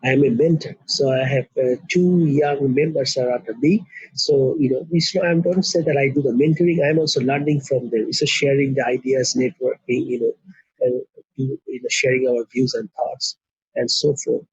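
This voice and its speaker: A man's voice: mid-range voice, fairly even intonation, normal speed, a little mellow, breathy edge, dry recording. A middle-aged man from Bangladesh, a company co-founder being interviewed, talking earnestly with many fillers. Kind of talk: casual interview. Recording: muffled, dry lo-fi sound.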